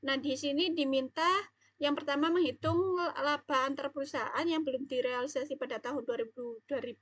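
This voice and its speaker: A woman's voice: high-pitched, fairly even intonation, fairly quick, a bit bright, dry recording. A young woman explaining material as a student presenter, somewhat hesitant. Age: young adult.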